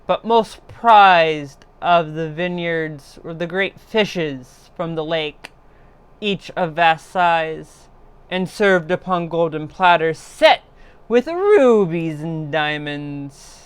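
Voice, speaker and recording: A woman narrating a horror story in a theatrical, deliberate way, swelling in intensity as a livestream storyteller. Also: sits low, smooth. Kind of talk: dramatic horror story reading.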